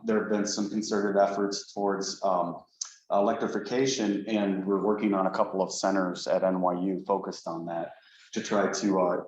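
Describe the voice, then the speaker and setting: A male professor giving a relaxed, lightly joking seminar talk to colleagues in a lecture room. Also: on the low side. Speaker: guest speaker, professor. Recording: echoey room, dull lo-fi sound, faint noise.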